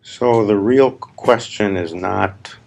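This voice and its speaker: Guy leading a guided meditation, really slow and gravelly, emphatic, spiritual teacher type. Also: on the low side, darkish tone. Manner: deliberate, emphatic, repetitive phrasing with long pauses. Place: live gathering.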